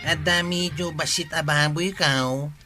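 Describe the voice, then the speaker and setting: A man's voice: a little high, steady tone, unhurried, loud music behind the voice, close to an everyday voice. A man with an Ilocano accent acting as a pushy village man offering work in a radio comedy drama. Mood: coaxing.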